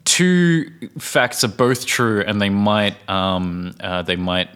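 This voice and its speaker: A man's voice: lowish voice, big pitch swings, slow, fairly bright tone, clear, resonant tone. A young Australian man co-hosting a podcast, laid-back and teasing, giving lots of short agreements. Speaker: podcast co-host. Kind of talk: casual chat.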